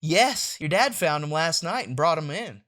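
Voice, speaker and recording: A woman's voice: low-pitched, animated, normal speed, a bit bright, voice pushed down. A woman voicing a practical, caring, mildly exasperated mother in a motherly, matter-of-fact manner for a comic strip read aloud.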